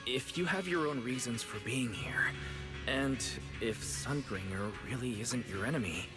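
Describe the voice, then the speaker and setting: An actor playing a calm, thoughtful, caring young man who stays steady under pressure in a video game. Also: mid-range voice, a little slow, natural voice. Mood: concerned. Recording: background music under the voice.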